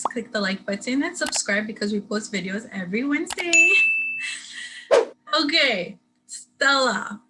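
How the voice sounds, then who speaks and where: A woman's voice: a little high, heavy background noise. A woman hosting an interview show, talking directly and upbeat to her viewers. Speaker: interview host.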